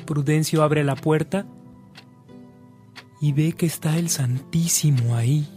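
A man's voice: on the high side, slow, dark tone, faint music behind. A man narrating a scripted radio drama.

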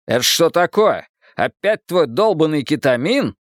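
Man acting stern, exasperated father, animated delivery. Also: on the high side, a little slow, dry recording, clean studio sound, pitched above the performer's own voice. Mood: angry. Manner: firm, blunt, commanding. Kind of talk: dramatised audiobook acting.

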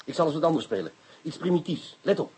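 An actor playing a brusque, impatient, sardonic police inspector who easily gets excited, in a Dutch radio drama. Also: male voice, fairly high pitch, fast, slight background noise, lightly acted, poor audio. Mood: intent, focused. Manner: bossy, cursing, sardonic.

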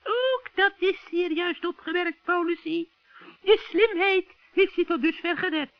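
A man voicing a scheming old witch in a children's radio play, shrill and cackling, with sugary, wheedling friendliness. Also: dry recording. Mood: sly, coaxing. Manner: wheedling, drawn-out coaxing. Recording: dull, dry vintage radio recording.

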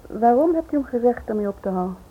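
A woman acting a polite, curious housewife in a radio play, speaking briskly. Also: fairly high pitch, lively intonation, natural voice. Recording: tinny, noisy old radio play recording.

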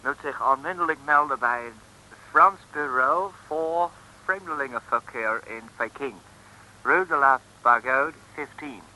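A prim anglicized sidekick. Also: male voice, medium pitch, a little slow, bright, forceful tone, slight background noise, rough recording. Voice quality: mixes English phrases into Dutch. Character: prim English-flavoured male sidekick. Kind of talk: 1950s radio comedy acting.

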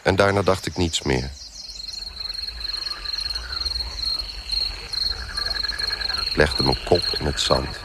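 An actor playing a dazed, lonely, dying man turned into a lobster, narrating in a brooding monologue for a radio play. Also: sits low. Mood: forlorn. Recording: faint background noise.

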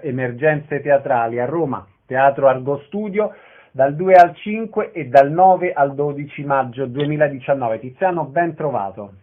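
Male radio interviewer, slightly high voice, introducing guests, narrow telephone-like audio. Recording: thin phone-like radio sound.

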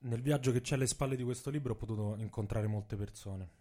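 A man's voice: mid-range voice, quick pace, mellow. A man reading his own literary text aloud as dramatic narration.

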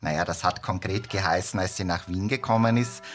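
An actor playing an earnest expert guest in a radio drama interview, explaining with emphatic pauses. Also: male voice, low voice, fairly bright tone, soft background music.

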